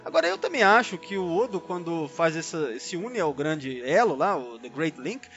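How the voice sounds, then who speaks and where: A man's voice: fairly high pitch, very animated, normal speed, fairly bright tone. A Brazilian man co-hosting a podcast in a casual fan discussion, often checking for agreement as he talks. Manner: conversational, frequently seeking agreement with tag questions. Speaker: podcast co-host. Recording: dull lo-fi audio, music underneath. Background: background music.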